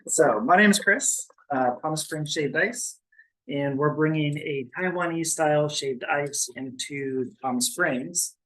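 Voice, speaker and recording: A man from Seattle, a food vendor, presenting his business to a city board in a relaxed, explanatory way with frequent "um" fillers. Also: on the high side, slow pace, low-quality recording. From Seattle, USA. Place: city board meeting room.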